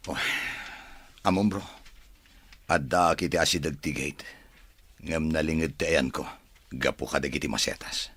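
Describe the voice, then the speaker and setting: A man acting a troubled, suspicious husband in a family drama, confiding in a husky, weary, expressive conversational way. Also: extremely low pitch, moderate pace, pauses often, no room sound, exaggerated voice.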